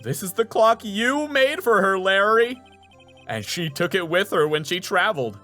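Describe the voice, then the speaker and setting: A man's voice: high voice, animated, normal speed, sharp tone, soft background music, pitched above the performer's own voice. A man voicing an earnest young male rookie lawyer in a video game, hesitant and eager. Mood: confident.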